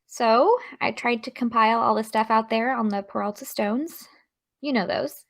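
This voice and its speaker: A woman's voice: on the high side, normal speed, close to an everyday voice. An actress playing an earnest, studious teenage girl with a chatty, eager, slightly awkward manner in an audio drama. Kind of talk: naturalistic audio drama acting.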